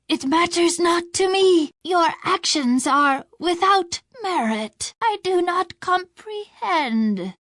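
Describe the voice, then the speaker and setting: A small, ageless faerie dragon from a video game, with a high, girlish voice. The delivery is slow and very melodic, mixing childish mischief with aloof, alien hauteur. Phrasing is formal and archaic. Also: fairly bright tone, dry recording, clean studio sound, heavily acted. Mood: detached. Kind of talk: video game character voice lines.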